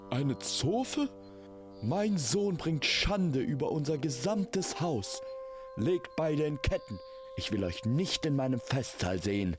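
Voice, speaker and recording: A man's voice: a little high, big pitch swings, slow, music in the background, voice pushed up. A man voicing a haughty, stern king in a fantasy audio story, with a formal, pompous manner. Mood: outraged. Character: haughty royal king, indignant and stern father.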